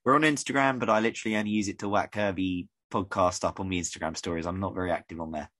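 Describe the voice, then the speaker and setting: A man from the UK hosting a casual podcast, rambling and conversational, his voice croaky. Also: low voice, fairly even intonation, rapid-fire, a bit bright. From United Kingdom. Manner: rambling, conversational, many fillers. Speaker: podcast host.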